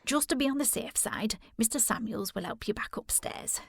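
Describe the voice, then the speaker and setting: A dutiful housekeeper in a period audio drama. Her voice is husky with plenty of creak, in a very dry recording. She is soothing and deferential, with a servant's formal manner. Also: fairly high pitch, expressive, normal speed, no room sound, full character voice. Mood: brisk, caring.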